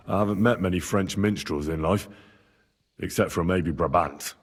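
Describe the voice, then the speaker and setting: A man's voice: deep voice, unhurried, slightly rough, exaggerated voice. A man playing an earnest, brave young medieval adventurer in a game, plain-spoken and reassuring. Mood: gentle. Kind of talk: dramatic game cutscene acting.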